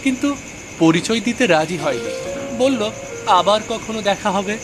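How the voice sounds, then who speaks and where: A man's voice: high voice, animated, measured pace, over music. A man voicing a stubborn, eager young man in a Bengali cartoon story. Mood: breezy, stubborn.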